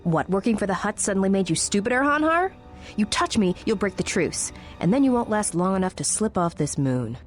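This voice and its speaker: A woman's voice: medium pitch, very animated, brisk, close to an everyday voice. A woman playing a tough, sardonic bounty hunter in a video game, taunting and defiant. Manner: sardonic, cocky. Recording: loud music under the voice.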